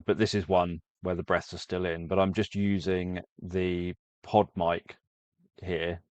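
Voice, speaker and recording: A man's voice: low voice, little pitch movement, unhurried, a little mellow, dry recording. A man who makes sleep stories, talking casually to viewers and rambling through his explanations. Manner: rambling, self-correcting explanation. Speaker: sleep story creator.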